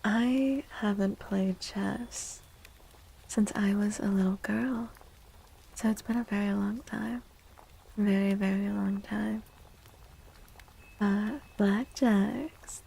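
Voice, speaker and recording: Female ASMR streamer, soft and breathy near-whisper, fairly even melody with some creak, casual and gentle, close-miked. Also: medium pitch, takes it slowly, long pauses, slight background noise, poor audio. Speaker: live streamer hosting chat. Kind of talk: soft-spoken ASMR, casual live chat.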